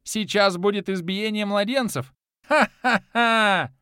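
Cackling mad god, very high and loud, manic, gloating, dry close sound. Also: male voice, very animated, unhurried, no room sound, exaggerated voice. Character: mad cackling male deity, gleeful and childish.

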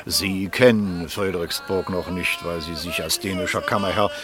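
An actor playing a talkative, amused Danish baron at court, gossiping with urbane, courtly politeness in a German period radio play. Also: male voice, deep voice, fairly even intonation, moderate pace, natural voice. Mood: amused, gossipy. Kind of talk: German radio drama acting, period piece.

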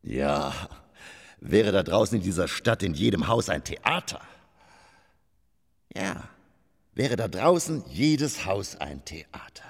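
An actor in a radio drama playing a melancholy, self-absorbed stage actor who declaims and swings between whispers and outbursts. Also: male voice, deep voice, very animated, takes it slowly, gravelly, pauses often, full character voice. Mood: musing, theatrical. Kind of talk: German radio drama monologue.

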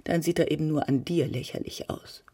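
A woman's voice: deep voice, steady tone, fairly quick, dark tone, slightly rough. An actress playing an exasperated middle-aged mother nagging her grown son in a radio drama, fussy and scolding.

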